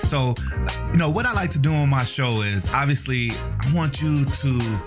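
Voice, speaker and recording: A man's voice: mid-range voice, animated, normal speed, music in the background. A man hosting a casual interview show, introducing his guest and asking him questions in a friendly, encouraging way. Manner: friendly, encouraging with frequent backchannels. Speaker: podcast host.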